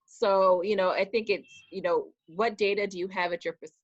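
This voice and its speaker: A woman's voice: mid-range voice, fairly even intonation, normal speed, dry recording. A woman on a webinar panel, explaining things in an informal, conversational way. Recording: dry, dull lo-fi sound.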